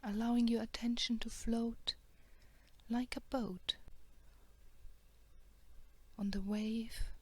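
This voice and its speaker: A woman guiding a body scan meditation in a soft, hushed, measured way with long pauses. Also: fairly high pitch, flat delivery, slightly rough, no room sound. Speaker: meditation guide.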